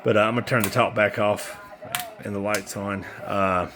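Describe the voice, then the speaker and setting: Man, low pitch, bright tone, casual demo chat, rambling, informal, faint background noise. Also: steady tone, normal speed. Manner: informal, rambling, hands-on while talking. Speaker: seller demonstrating used radio gear. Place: indoors at a desk with radio equipment.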